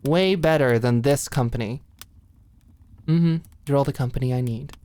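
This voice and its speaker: A woman acting a feisty, protective popular young woman at camp in an ASMR roleplay, talking in a casual, halting, emphatic way. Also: a bass voice, warm tone. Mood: inviting, tender.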